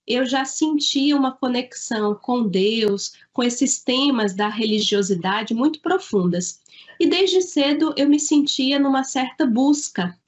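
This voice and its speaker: A woman from Salvador, an author answering interview questions in an earnest, thoughtful way with the odd halting restart. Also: fairly high pitch, dull audio. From Salvador, Bahia, Brazil. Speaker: author and guest.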